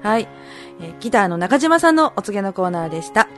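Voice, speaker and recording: A woman's voice: mid-range voice, sing-song, quick pace, a bit bright, faint music behind. A woman hosting a radio show, presenting segments and interviewing her guest. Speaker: radio show navigator, host.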